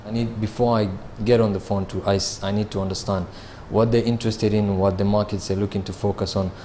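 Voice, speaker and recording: A man, answering interview questions about his sales job in a measured way, with frequent fillers and restarts. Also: on the low side, little pitch movement, warm tone, a little hiss or hum. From Kenya. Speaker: sales manager.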